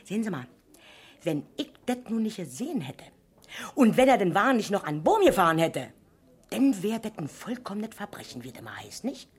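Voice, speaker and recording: An actress playing an agitated, gossipy, resentful eyewitness in a German radio crime drama, chatty and insistent. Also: female voice, medium pitch, sing-song, a little fast, darkish tone, slightly rough. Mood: indignant, gossipy.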